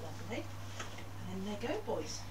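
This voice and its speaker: A woman's voice: mid-range voice, very animated, normal speed. An older woman patiently encouraging children in a home kitchen, giving casual step-by-step instructions. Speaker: grandmother leading children's baking. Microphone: handheld camera a few feet away. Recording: noisy handheld camera, distant mic.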